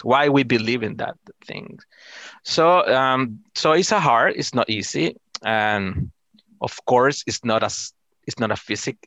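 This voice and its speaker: A middle-aged man from El Salvador with a Salvadoran Spanish accent, answering casually in halting English, often repeating and rephrasing his words. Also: mid-range voice, a bit bright, no echo. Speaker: interview guest, amateur astronomer.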